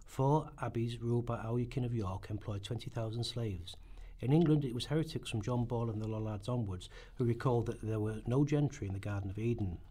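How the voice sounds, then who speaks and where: A man's voice: medium pitch, fairly quick, warm tone, husky. A man narrating a scripted historical radio play in a formal, earnest manner.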